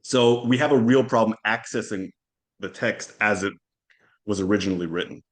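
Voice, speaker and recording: Low-voiced man, thoughtful and halting. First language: Hebrew. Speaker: podcast guest, historian. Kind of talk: conversational interview.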